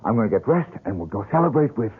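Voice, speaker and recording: An actor playing an earnest, excitable painter with a husky voice, in old radio drama style. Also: male voice, medium pitch, fast talker, narrow, tinny audio. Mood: elated, eager. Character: earnest, excitable, haunted struggling male painter. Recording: thin band-limited old radio broadcast.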